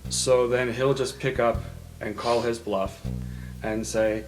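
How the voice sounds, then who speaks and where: A man's voice: mid-range voice, fairly even intonation, slow, poor audio. A man playing a tabletop game, casually narrating his character's choices as he improvises. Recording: background music under voice.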